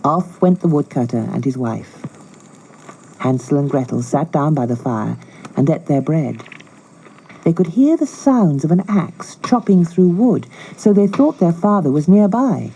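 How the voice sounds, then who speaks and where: A woman narrating a children's storybook in a measured, expressive, scripted style. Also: sits low, husky, poor audio. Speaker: audiobook narrator.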